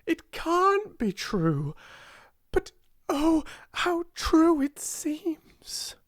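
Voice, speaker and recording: Woman voicing a breathy, high-pitched awestruck little girl. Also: very animated, extremely slow, dry recording, studio quality, full character voice. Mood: amazed. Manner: breathy, panting, half-whispered exclamations. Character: young girl, breathless, awestruck and overjoyed with wonder. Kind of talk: storybook character voice in audiobook reading.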